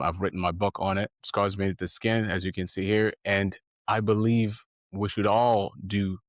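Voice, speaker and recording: A man hosting a podcast interview, speaking in an earnest, halting way, with many restarts. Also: low voice, no echo, clean studio sound. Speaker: podcast host.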